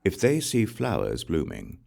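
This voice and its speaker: A man reads sacred text in a very deep voice, slow and deliberate. His delivery is solemn and formal, yet the pitch moves expressively through each measured phrase, as in a careful audiobook narration. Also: wildly swooping pitch, creaky, a little mellow. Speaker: audiobook reader. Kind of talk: scripted reading of Buddhist scripture.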